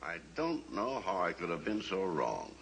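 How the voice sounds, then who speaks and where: An actor playing a blunt, stubborn rancher and father who talks gruffly and plainly in a 1950s radio drama. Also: low-pitched, big pitch swings, normal speed, a bit bright, full character voice. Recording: old radio recording with faint hiss.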